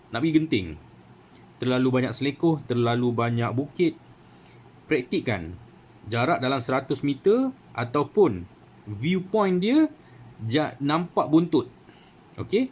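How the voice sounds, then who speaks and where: A man's voice: medium pitch, a little mellow. A man with a Malaysian Malay accent giving a casual how-to talk as a channel host, full of fillers and asides asking for agreement. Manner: chatty, many fillers and rhetorical "kan?". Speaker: radio hobby channel host.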